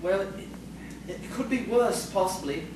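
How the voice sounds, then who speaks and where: A man's voice: sits high, measured pace. Prim fretful schoolmaster, very echoey, acted by a man. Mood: fretful, bewildered. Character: timid, fussy, superstitious male village schoolmaster. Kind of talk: audio theatre acting. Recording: very echoey, distant, noisy stage recording.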